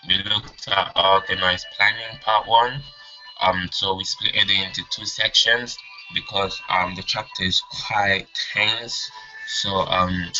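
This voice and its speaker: A young man from Congo, raised partly in England and not a native English speaker, presents an informal training lesson. His voice is fairly low yet very bright and forceful, and he moves forward in halting phrases. The audio is dull and lo-fi. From Congo, then England. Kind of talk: informal lesson with personal story. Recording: dull lo-fi audio, music behind.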